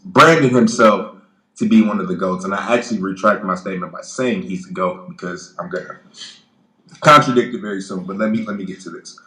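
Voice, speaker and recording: A man's voice: low-pitched, brisk, sharp tone, reverberant, poor audio. A man in his late twenties, a guest in a casual chat, blunt and profane, stumbling through restarts. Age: 20s.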